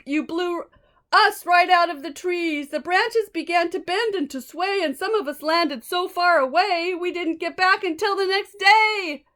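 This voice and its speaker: Woman as an agitated, high-pitched storybook monkey. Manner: loud, emphatic, rhythmic rhymed pleading. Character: agitated tree monkeys complaining and begging.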